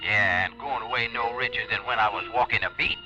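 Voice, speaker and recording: A man played by an actor heard as a memory in a vintage radio detective drama. His voice is low. The old recording is dull, with clear music underneath. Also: steady tone, fairly quick, lightly acted. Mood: gruff recollection. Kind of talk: 1950s American radio drama acting.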